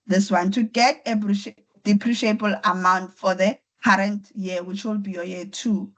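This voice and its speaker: A woman's voice: mid-range voice, fairly even intonation, normal speed, a bit bright, rough recording. Woman teaching with a flu-affected voice. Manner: patient, step-by-step explaining with repetition. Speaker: accounting tutor. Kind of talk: online lecture. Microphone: computer mic on a video call.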